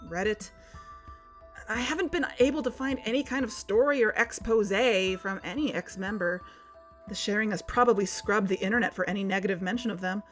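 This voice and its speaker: A determined podcast investigator played by a woman with a mid-pitched voice and light music behind. She lays out suspicions in an assertive, scripted documentary style for an audio drama. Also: natural voice.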